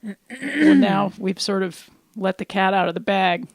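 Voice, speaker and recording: A woman's voice: medium pitch, lively intonation, measured pace, breathy edge, no room sound. A middle-aged woman co-hosting a community radio show, chatting casually, rambling and laughing often. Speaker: radio co-host. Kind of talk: casual chat. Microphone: studio microphone.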